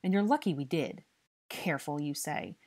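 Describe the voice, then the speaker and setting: A woman's voice: low-pitched, expressive, normal speed, a little mellow. A woman voicing a stern, furious young male investigator who scolds and interrogates with exasperated force in an audiobook story.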